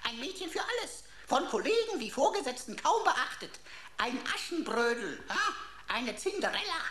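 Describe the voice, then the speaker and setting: Smug little talking computer, high-pitched, swooping intonation, florid and mocking, radio sci-fi sidekick, roomy echo. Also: female voice, sing-song, normal speed, fairly bright tone, slight background noise. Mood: pompous, triumphant. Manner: pompous, florid, mock-formal, theatrical, teasing. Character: pompous, emotional talking pocket computer, a sarcastic sleuth sidekick. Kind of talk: German radio play acting.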